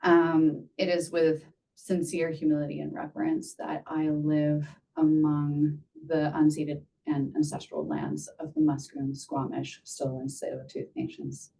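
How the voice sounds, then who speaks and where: Low-voiced woman presenting from afar. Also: gravelly, dark tone, distant mic, poor audio. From Spain. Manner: earnest, measured, with frequent fillers. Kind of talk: webinar presentation.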